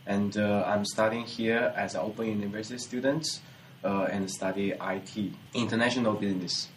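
A man's voice: fairly low pitch, steady tone, normal speed, a little hiss or hum. A young man from China, a university student speaking English with grammar in a casual chat. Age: young adult. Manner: non-native grammar, laughs easily. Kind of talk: casual discussion.

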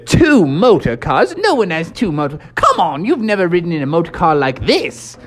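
An adult doing a put-on for a boastful, reckless old male toad gentleman in an improvised bedtime story, grand and jolly. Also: mid-range voice, wildly swooping pitch, moderate pace.

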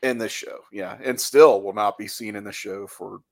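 Man, podcast co-host, lowish voice, casual TV analysis, reflective and colloquial, dry recording. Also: fairly even intonation, normal speed, gravelly, no room sound. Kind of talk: casual discussion and analysis of a TV show.

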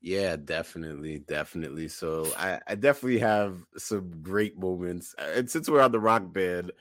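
A man's voice: deep voice, normal speed, fairly bright tone. A man co-hosting a podcast in casual conversation, speaking emphatically and repeating phrases for emphasis. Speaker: podcast co-host.

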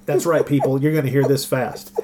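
A man's voice: medium pitch, steady tone, brisk, darkish tone. A man hosting a podcast in casual chat, with a wry, self-deprecating, joking manner. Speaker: podcast host.

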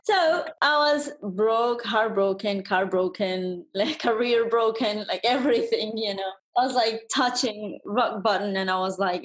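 A woman from Colombia, a wedding photographer who is a podcast guest, telling her life story in a candid, chatty way. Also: mid-range voice.

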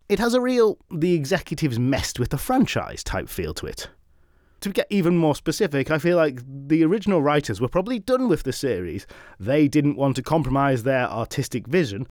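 A man's voice: mid-range voice, a little mellow, no room sound, studio quality. A man hosting a podcast, retelling an old myth in a chatty way with wry, playful asides. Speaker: podcast host and storyteller.

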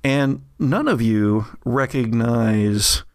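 A man working as game master, narrating a tabletop game in deliberate, formal phrasing with a wry streak. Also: medium pitch, no room sound, studio quality.

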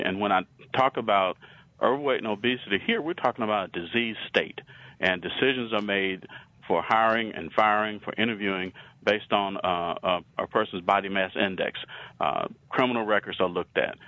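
A man from Alabama with a Southern accent, giving a slide lecture in a measured way, with many pauses and restarts. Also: sits low, sharp tone, dull audio. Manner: measured, frequent uh fillers and restarts.

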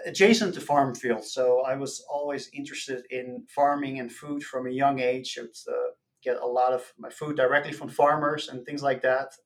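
A man from the Netherlands, an academic guest explaining things casually and haltingly in an interview. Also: medium pitch. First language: Dutch. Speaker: podcast guest, nutrition professor.

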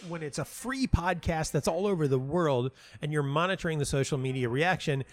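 A man talking casually as an interview guest, telling anecdotes in an animated, emphatic way and often repeating himself for stress. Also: fairly high pitch, brisk. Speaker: interview guest, playwright and audio dramatist.

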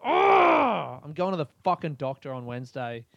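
A man's voice: fairly high pitch, moderate pace, gravelly, dry recording, muffled recording. A man hosting a podcast, rambling through a casual comedic monologue and swearing freely while he laughs at his own jokes. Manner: crude, swears a lot, laughs often; animated, rambling storytelling. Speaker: podcast host.

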